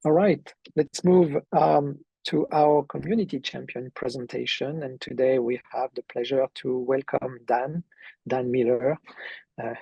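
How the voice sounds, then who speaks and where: Gravelly, husky man hosting a call. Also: medium pitch, mellow, no room sound, low-quality recording. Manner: halting, many fillers. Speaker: community call host. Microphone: video call.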